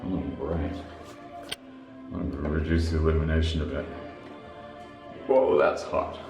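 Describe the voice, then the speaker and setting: A man's voice: very deep voice, fairly even intonation, measured pace. A man talking to himself wryly while walking around an empty room under renovation at night, recorded on a handheld camera. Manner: wry, musing, talks to himself. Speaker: vlogger. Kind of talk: casual self-talk while walking around. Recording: echoey room with music behind.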